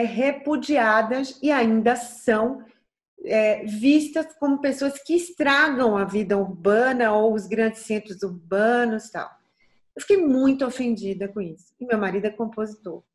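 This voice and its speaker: A soft-voiced woman with a light Bahian accent, middle-aged, speaking a little higher than most women. She talks thoughtfully and earnestly, circling back with small restarts and tag questions as an interview guest. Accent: Bahian Portuguese. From Bahia, Brazil. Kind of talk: reflective interview talk.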